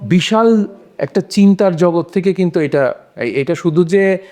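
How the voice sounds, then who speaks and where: A man's voice: sits high, expressive, a little slow, a little mellow. A man on a discussion panel, explaining his opinions on a short story in a casual conversational way.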